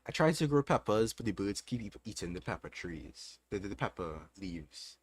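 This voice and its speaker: Brief: young Trinidadian man, very low voice, relaxed livestream host answering chat, loose and unscripted. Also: moderate pace, a little hiss or hum. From Trinidad and Tobago. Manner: relaxed, thinking aloud with fillers.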